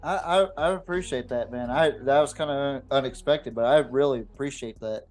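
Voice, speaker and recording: A man's voice: medium pitch, moderate pace, a little mellow, faint music behind. A man hosting a sports podcast in a casual, blunt way, swearing often as he talks. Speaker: podcast host.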